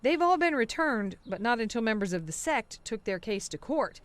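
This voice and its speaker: A woman's voice: on the high side, very animated, moderate pace, noisy background. A woman news reporter delivering a scripted voice-over.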